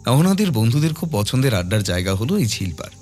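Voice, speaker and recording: A man's voice: medium pitch, sing-song, fast, darkish tone, soft background music. A man narrating a scripted horror audio story in a dramatic storytelling style.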